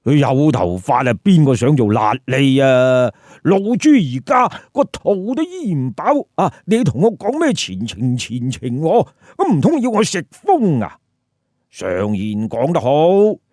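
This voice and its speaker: A man's voice: a little high, a little mellow, dry recording, clean studio sound, full character voice. A man voicing a brazen, hungry male pig demon bandit, blunt and grumbling, in a told story.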